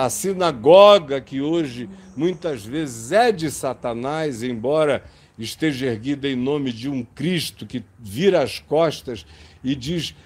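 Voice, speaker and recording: Slow, high-voiced preacher. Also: male voice. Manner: impassioned, slow and emphatic. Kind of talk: sermon.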